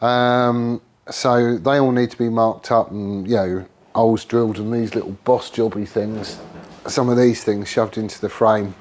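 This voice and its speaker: Man, blocked-up cold voice, mid pitch, rambling casual garage chat, lots of ums. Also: a little mellow. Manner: rambling and informal, with frequent ums and trailing restarts. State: congested and losing his voice from a cold. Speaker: motorcycle builder and channel host. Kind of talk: casual workshop chat to camera. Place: home garage workshop.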